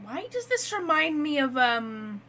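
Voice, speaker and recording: High-pitched woman casually reacting to a game. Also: big pitch swings, a little slow, pushed and bright. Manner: chatty, reactive, thinking aloud. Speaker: gamer, streamer. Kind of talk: casual playthrough commentary. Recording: faint background noise.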